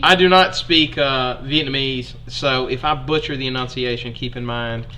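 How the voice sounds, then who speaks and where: A man's voice: mid-range voice, moderate pace, pushed and bright, a little hiss or hum. A young man with an Alabama accent teaching a history lesson in a chatty, folksy way to his students.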